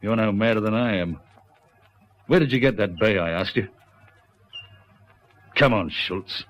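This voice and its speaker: An actor playing a firm, blunt country police sergeant in a radio drama, speaking in a deliberate, probing manner. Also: male voice, fairly low pitch, lightly acted. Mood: stern. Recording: dull lo-fi radio recording.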